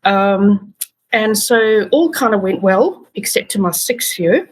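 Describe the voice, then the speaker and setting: A woman with a South African accent, a patient advocate telling her story in a relaxed interview with many fillers and restarts. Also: mid-range voice, takes it slowly, low-quality recording. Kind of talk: casual interview talk.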